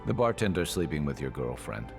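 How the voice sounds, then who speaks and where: An actor playing a blunt, seasoned companion in a video game, talking in a dry, laid-back, teasing way. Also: male voice, extremely low pitch, big pitch swings, fairly quick, gravelly, soft background music, heavily acted. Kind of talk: game dialogue.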